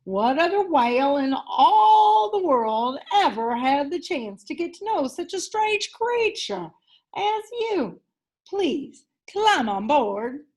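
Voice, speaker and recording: A woman's voice: high voice, very animated, takes it slowly, darkish tone, full character voice. A woman voicing a huge, friendly male whale in a storybook, with a grand, hearty, emphatic delivery. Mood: gracious, delighted.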